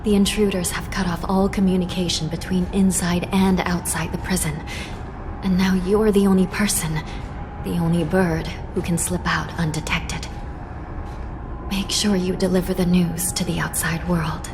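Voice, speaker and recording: A woman's voice: fairly low pitch, little pitch movement, moderate pace, lightly acted. A woman playing a weary, dry, duty-bound young prison judge in a video game, serious and resigned. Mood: grave, concerned. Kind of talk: dramatic video game dialogue. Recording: loud music behind the voice.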